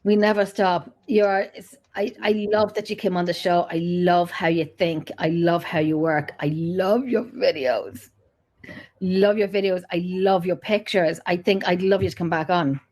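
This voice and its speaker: A woman's voice: fairly low pitch, a little slow, a little mellow. An Irish woman hosting a podcast, chatting casually, laughing often and swearing lightly. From Ireland. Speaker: podcast host. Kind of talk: casual chat.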